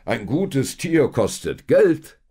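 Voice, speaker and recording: A man voicing a rich, proud, hard-bargaining dealer in a storybook tale, haughty, loud and drawn-out. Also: mid-range voice, big pitch swings, slightly rough, pitched above the performer's own voice, exaggerated voice. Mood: dismissive. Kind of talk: storybook character voice in narrated tale.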